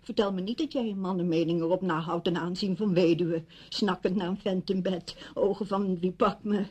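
Husky indignant widow in a radio play, hurt and accusing her old friend. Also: fairly low pitch, steady tone, normal speed, a little mellow. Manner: reproachful, emphatic. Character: wounded, indignant widow confronting her former best friend. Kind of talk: radio drama acting.

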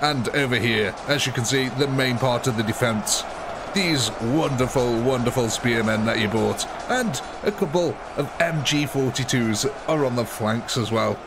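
Brief: male streamer with a cold, sniffly, chatty and upbeat, clear hiss behind the voice. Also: medium pitch, normal speed. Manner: cheerful, chatty, laughing often. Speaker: livestream gaming host. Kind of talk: casual live commentary.

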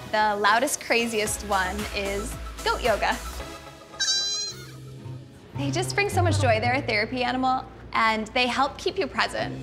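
Bubbly woman in her thirties. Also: a little high. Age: 30s. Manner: bubbly and enthusiastic. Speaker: contestant, yoga instructor. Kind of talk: reality show confessional interview.

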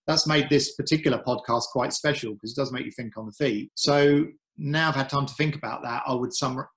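A man's voice: medium pitch, fairly even intonation, brisk, a bit bright. A man answering interview questions on a podcast, thoughtful and a little hesitant, restarting his phrases as he thinks. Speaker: podcast guest, cave and wreck diver.

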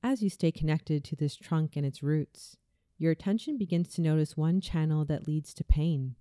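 A woman reading a scripted guided visualization in a soothing, deliberate way, as a meditation narrator. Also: low voice, mellow, no echo, studio quality. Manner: soothing, slow, deliberate delivery.

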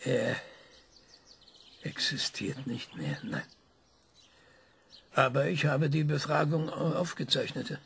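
An actor playing an obsessive older male scientist who questions an AI in a calm, measured, probing tone, in a radio play. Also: medium pitch, gravelly, long reverb tail, slight background noise, heavily acted. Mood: earnest.

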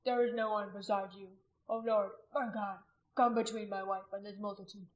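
A woman's voice: medium pitch, fairly even intonation, normal speed, muffled recording, close to an everyday voice. A woman voicing an anguished, repentant warrior husband in a story, praying and pleading with a groaning delivery. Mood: weeping remorse.

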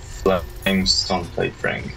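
A man's voice: deep voice, takes it slowly, creaky, sharp tone, faint music behind, low-quality recording. A young man playing a game with a friend, laid-back and giving brief replies. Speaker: co-op player guest. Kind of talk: casual gameplay chat.

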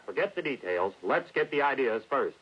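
An American man playing a brisk, commanding creative producer in a staged 1960s industrial film. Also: mid-range voice, big pitch swings, lightly acted. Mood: decisive. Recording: echoey hall, dull vintage film soundtrack.

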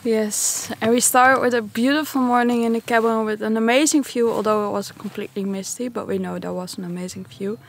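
Cheerful higher-pitched young woman hiker. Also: fairly even intonation, fairly quick, gravelly, few pauses. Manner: cheerful, enthusiastic. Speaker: hiking vlogger. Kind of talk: travel vlog narration and trail chat. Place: outdoors on a forest trail.

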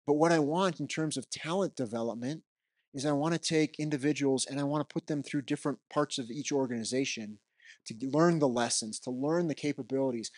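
A man's voice: medium pitch, darkish tone. A middle-aged man giving an unscripted keynote in a conference hall, speaking conversationally into a handheld microphone and often tagging his points with "right?". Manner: conversational, roams the stage, frequent "right?" tags.

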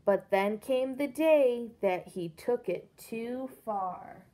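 Slow-paced woman reading aloud to children. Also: fairly high pitch. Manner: expressive, simple explanations for young listeners. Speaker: teacher reading to young pupils. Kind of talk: picture-book read-aloud with questions to children. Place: indoors, small room against a brick-patterned wall.

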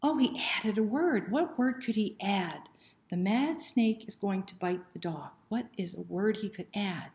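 A woman's voice: mid-range voice, expressive, measured pace, a little mellow. A woman in her fifties hosting story time, reading aloud and chatting to young children with questions and simple instructions. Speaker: library story time host. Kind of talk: children's picture book read-aloud and chat. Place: small indoor room. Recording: roomy indoor echo.

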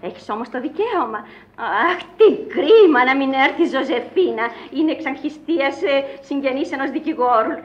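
An actress playing a fluttery, excitable, naive woman, exclaiming theatrically in a radio play. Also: high voice. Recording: dull, lo-fi archival radio recording.

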